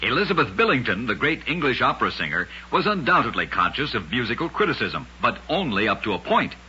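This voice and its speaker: A man's voice: medium pitch, very animated, fast, sharp tone, a little hiss or hum. A man narrating scripted oddities as host of an old-time radio program, with a dramatic, emphatic storytelling delivery. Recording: muffled old radio recording.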